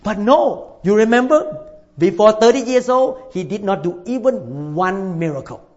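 A male pastor preaches with a fairly high voice, pressing his points by repeating them and asking for amens. The recording is dull and lo-fi, and it sounds like a church hall. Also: animated, a little slow. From Thailand. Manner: emphatic, repeats phrases, calls for amens. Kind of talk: sermon.

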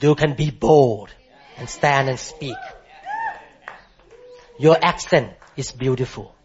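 A male pastor preaching a sermon to a congregation, emphatic and rising to high, impassioned declarations, calling for responses from the room. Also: takes it slowly, a little mellow. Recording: muffled lo-fi sermon recording.

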